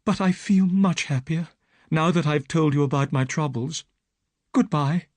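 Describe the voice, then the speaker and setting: A man's voice: on the high side, a little slow, a little mellow, no echo, pitched above the performer's own voice. A man voicing a frightened young woman who pleads for help. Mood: fearful. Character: frightened young woman telling her story.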